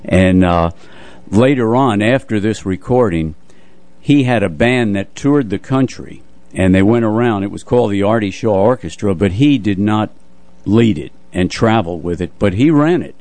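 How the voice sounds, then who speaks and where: An elderly man hosts a music show in a fairly low voice. He reminisces in a measured, conversational way, circling back over his phrases. The sound is very dry, as in a studio. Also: lively intonation, darkish tone, dry recording. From United States, New Jersey area. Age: 80s. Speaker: radio music show host. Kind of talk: casual reminiscing radio talk. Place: radio studio.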